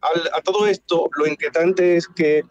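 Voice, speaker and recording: A man's voice: fairly high pitch, steady tone, normal speed, darkish tone, no echo, muffled recording. A man with a Dominican accent calling a radio show over an online audio connection, telling an anecdote casually. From Dominican Republic. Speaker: radio caller.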